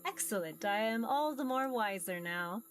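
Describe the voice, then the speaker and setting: A woman voicing a mysterious ancient being in a visual novel, formal, measured, amused and curious about humans. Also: medium pitch, animated, soft background music, close to an everyday voice. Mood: formal, guiding. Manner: formal, measured, archaic phrasing. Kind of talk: visual novel character read-aloud.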